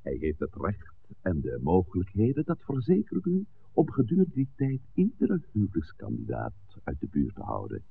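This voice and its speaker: Shrewd, genial old priest, swooping expressively, slyly persuasive, on a tinny old radio recording. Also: male voice, deep voice, wildly swooping pitch, vocal fry, a little hiss or hum, heavily acted. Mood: sly, measured. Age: older. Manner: deliberate, eloquent, theatrical. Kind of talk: 1960s Dutch radio play acting.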